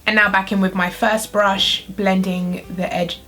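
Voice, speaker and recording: A woman's voice: mid-range voice, little pitch movement, measured pace, pushed and bright. A young adult woman vlogger talking through a makeup tutorial, her nose blocked from a cold, chatty and full of "like". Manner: chatty, uses "like" often. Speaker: beauty vlogger.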